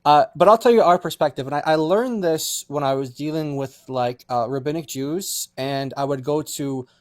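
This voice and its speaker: A man with a fairly high voice drives his point home through repetition. His delivery is insistent, and the recording is dry and close. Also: normal speed, dry recording. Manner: insistent, repeats points for emphasis. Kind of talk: casual debate discussion.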